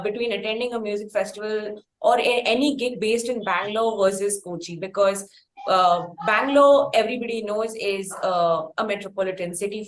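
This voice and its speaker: A woman with an Indian accent interviewing in a conversational style, with wordy, halting phrasing. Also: medium pitch. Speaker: podcast interviewer. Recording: roomy echo, faint background noise.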